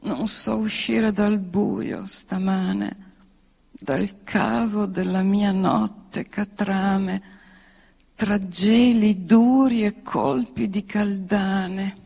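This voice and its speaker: A woman reciting verse theatrically, in a deliberate, declamatory manner. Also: medium pitch, vocal fry, a little mellow, breathy edge. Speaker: poet reading own work. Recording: long hall reverb.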